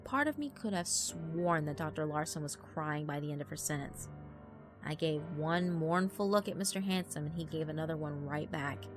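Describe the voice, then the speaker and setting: A woman giving a grave, deliberate, dramatic reading of a horror story as narrator. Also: low voice. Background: background music.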